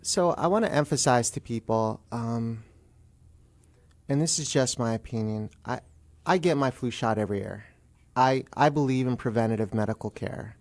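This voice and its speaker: A man's voice: medium pitch, normal speed, darkish tone. Man speaking carefully in a radio interview. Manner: careful, qualifying his opinions. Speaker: attorney, radio guest.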